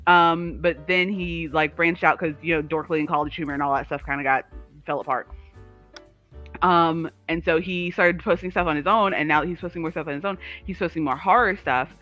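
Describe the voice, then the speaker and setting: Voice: medium pitch, fairly even intonation, fairly quick, pushed and bright, highs cut off, soft background music. A livestream co-host chatting casually and informally, telling rambling personal stories peppered with filler words. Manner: informal, filler-heavy with frequent "like".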